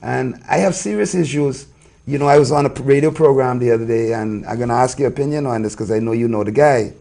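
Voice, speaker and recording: Male talk host, gravelly, mid-low voice, earnest and conversational. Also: fast talker, warm tone. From Bahamas. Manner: earnest, frequent low murmured agreements. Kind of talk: casual interview conversation.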